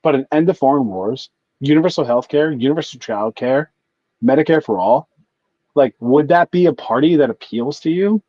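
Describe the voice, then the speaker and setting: A man's voice: mid-range voice, normal speed, warm tone, no echo, dull audio. A man in his thirties calling in, arguing politics with pointed rhetorical questions. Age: 30s. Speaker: caller. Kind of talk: political argument on a call-in.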